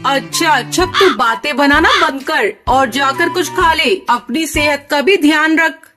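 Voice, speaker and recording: A motherly cartoon crow, played by a woman, fretting and affectionate, in a very high voice. Music plays softly behind her. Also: measured pace. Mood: fond scolding. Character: fretful, affectionate middle-aged mother crow. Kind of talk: children's cartoon voice acting.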